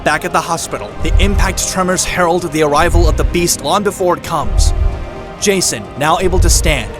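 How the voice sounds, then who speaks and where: A man's voice: a little high, normal speed, music in the background. A man giving dramatic scripted narration for an audio drama.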